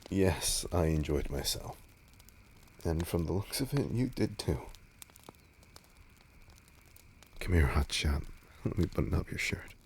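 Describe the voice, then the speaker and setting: A man playing a tender, teasing but insecure vampire lover in an intimate roleplay audio drama, murmuring breathily and haltingly, often slipping into whispers. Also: low voice, animated, vocal fry, a little hiss or hum, exaggerated voice.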